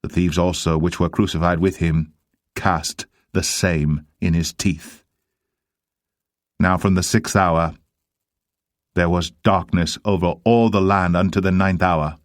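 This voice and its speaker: Deep-voiced man narrating scripture. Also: steady tone, a little slow, dry recording, studio quality. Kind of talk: dramatised Bible narration.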